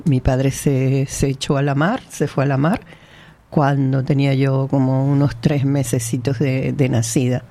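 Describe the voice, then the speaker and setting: A woman's voice: a bass voice, dark tone. A woman from the Canary Islands with a mixed Canarian and Venezuelan accent, telling her life story frankly as an interview guest. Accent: mixed Canarian and Venezuelan Spanish. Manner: emotional, frank, with restarts and laughs.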